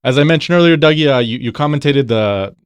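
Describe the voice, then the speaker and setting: A man hosting a casual podcast, relaxed and full of fillers, often echoing his co-host's words. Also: medium pitch, quick pace, smooth. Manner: relaxed, filler-heavy, repeats others' phrases. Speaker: podcast host.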